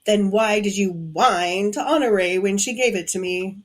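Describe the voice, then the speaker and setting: A woman's voice: mid-range voice, normal speed, lightly acted. A woman acting as a haughty, gloating villainess in an audio drama, taunting and imperious. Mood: accusing, scornful. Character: haughty, gloating female villain, cruel body-borrowing hotel owner.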